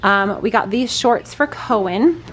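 A woman in her thirties chats into a handheld camera in a parked car, her mid-pitched voice loose and rambling. She fills her talk with "like" and "um". A steady wash of background noise sits under her voice. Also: measured pace, rough recording. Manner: chatty, rambling with lots of "like" and "um". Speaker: vlogger. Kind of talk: casual vlog chat. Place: parked car at a gas station, later a hotel room. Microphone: camera held at arm's length.

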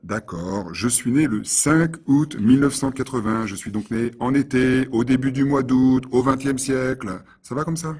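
Brief: man, mid-pitched, husky, scripted lesson dialogue reads. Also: moderate pace, few pauses, darkish tone. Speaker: dialogue reader for French learners.

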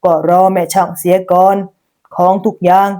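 A woman acting as stingy elderly matriarch, stern, hard-toned, scolding, lowered pitch, storybook tale. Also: level tone, normal speed, mellow, close to an everyday voice. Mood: flat, controlling. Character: stingy, stern old mother-in-law in a Thai folk tale.

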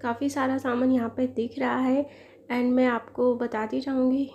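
A woman's voice: high-pitched, level tone, moderate pace, darkish tone, lo-fi sound, slight background noise. A woman in her thirties, a lifestyle vlogger who chats to viewers while unboxing a product at home, admitting she is no expert. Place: living room at home, indoors.

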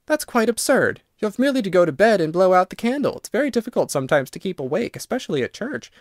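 A man's voice: high-pitched, sing-song, fast talker, no echo, clean studio sound, voice pushed up. A man voicing an earnest, kind and slightly pert young girl in a storybook. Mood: brisk, matter-of-fact. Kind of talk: storybook narration character.

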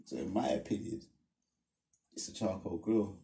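Young London man, low-ish dark tone, relaxed and dismissive in casual podcast banter. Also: moderate pace, gravelly, a little hiss or hum. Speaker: podcast co-host. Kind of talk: casual chat. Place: small indoor studio room. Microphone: clip-on lapel mic.

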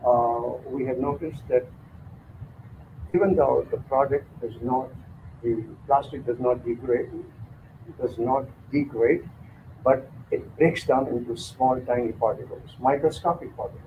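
An older man hosting, introducing a guest speaker in a halting way with many fillers. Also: medium pitch, slight background noise. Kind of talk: spoken introduction. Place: mosque lecture room. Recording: tinny lo-fi sound.

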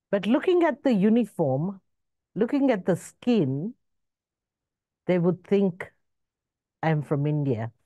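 A woman in her sixties, born in Zambia and raised in Zimbabwe, giving a slow reflective spiritual talk over a video call. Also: warm tone, dry recording, studio quality. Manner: slow, measured, rising and falling in pitch. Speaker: spiritual speaker. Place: indoor room with curtains. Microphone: video call webcam.

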